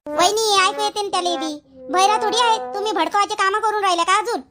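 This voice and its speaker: A woman's voice: extremely high pitch, fast, over a noisy crowd. A woman voicing an indignant young sister in a family cartoon, accusing and nagging in a Varhadi Marathi accent. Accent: Varhadi Marathi (Vidarbha). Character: indignant young sister scolding her brother. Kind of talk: animated family drama acting.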